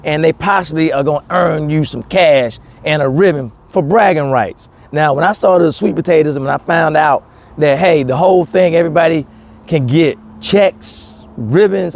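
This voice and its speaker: A man talking casually and excitedly to viewers as a gardening host, emphatic and repeating key words, recorded outdoors. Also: fairly high pitch, normal speed, gravelly. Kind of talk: casual, enthusiastic talk to camera.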